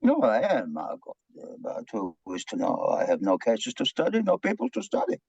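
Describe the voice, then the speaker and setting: A man playing a gloomy, self-pitying, excitable Austrian psychiatrist in a play reading, lamenting dramatically in broken English with an Austrian accent. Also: mid-range voice, moderate pace, gravelly, husky, no echo, dull audio, exaggerated voice. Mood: despondent.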